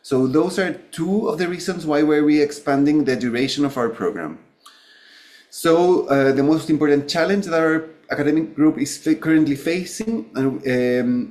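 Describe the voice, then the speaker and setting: A man presents as a guest academic physician. His voice sits a bit high, and he talks in a halting, self-correcting way. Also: darkish tone. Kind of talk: webinar presentation.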